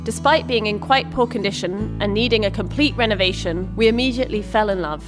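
A woman in her thirties from the United Kingdom, reading a scripted voice-over narration in an even manner. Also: medium pitch, a little fast. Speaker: vlog co-host. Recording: voice-over with background music.

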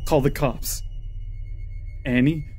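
A man's voice: on the high side, fairly even intonation, takes it slowly, darkish tone, breathy edge. A man playing a worried young man trying to reassure his friend, earnest and subdued, in a horror story. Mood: worried, reassuring. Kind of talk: dramatised horror story reading. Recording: eerie music behind. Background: ominous background music.

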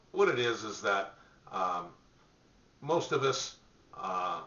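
A man's voice: fairly low pitch, bright, forceful tone. An older man giving bowling practice tips straight to camera from a home office, talking conversationally with fillers and restarts. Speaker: bowling coach. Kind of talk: direct-to-camera tips talk. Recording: roomy, distant, dull webcam sound.

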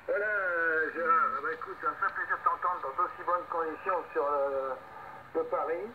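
A tinny radio signal carries an older man with a slightly high voice. He chats casually as an amateur operator, in halting, hesitant phrases, with faint noise behind. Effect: two-way radio. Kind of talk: casual ham radio exchange. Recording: tinny noisy radio link.

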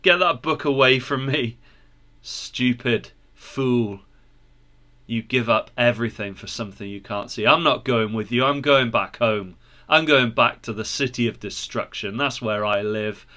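A man's voice: mid-range voice, measured pace, bright, forceful tone. A man acting a stubborn, scornful neighbor in a storybook tale, bluntly dismissing any invitation to go along.

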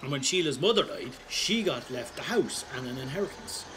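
A man acting an animated, roguish old Irishman. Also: medium pitch, fairly quick, noisy background, close to an everyday voice. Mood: wry, amused. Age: older. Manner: rambling, sweary, wry and chuckling. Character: roguish, callous, wry older Irish pensioner reminiscing. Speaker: storyteller. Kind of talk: dramatic monologue told as casual pub-style anecdote.

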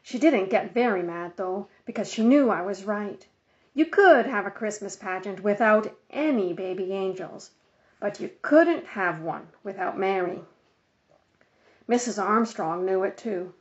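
A woman's voice: mid-range voice, unhurried. A woman narrating a children's storybook as a scripted read-aloud. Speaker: audiobook narrator. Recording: dull, muffled lo-fi audio.

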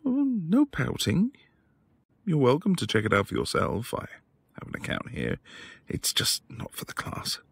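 A man acting a quiet, tender professor husband in an intimate boyfriend ASMR roleplay, soft-spoken and hesitant. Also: medium pitch, wildly swooping pitch, a little slow, creaky, slightly rough, full character voice. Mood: fond, gently teasing. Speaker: audio roleplay voice actor.

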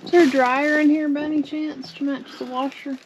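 Young Southern woman, chatty and practical, a bit high-pitched, noisy room. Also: level tone, brisk. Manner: chatty, practical, laughing easily. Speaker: storage unit buyer. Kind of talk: casual chat while digging through a unit. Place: indoor storage unit hallway. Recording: noisy background.

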